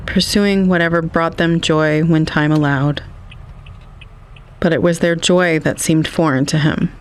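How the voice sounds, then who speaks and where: A man reading scripted literary narration for an audio drama in a measured, wistful manner. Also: on the high side, creaky, a little hiss or hum, low-quality recording.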